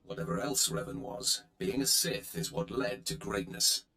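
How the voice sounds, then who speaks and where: A man playing an arrogant, blunt young dark-side warrior initiate in a video game, curt and dismissive. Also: deep voice, sing-song, moderate pace, roomy sound, exaggerated voice. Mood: defiant, cocky. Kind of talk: scripted video game voice acting.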